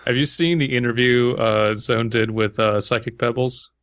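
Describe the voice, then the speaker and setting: A man with an average-pitched voice on a podcast. He moves between casual banter and informal reading of a first-person story. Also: steady tone, normal speed, fairly bright tone, clear, resonant tone, no echo, lo-fi sound. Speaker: podcast co-host.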